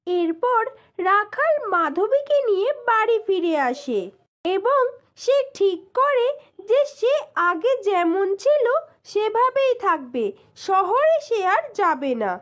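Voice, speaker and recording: A woman narrating scripted moral tales for children in a deliberate, phrase-by-phrase storytelling manner. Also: very high-pitched, very animated. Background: music.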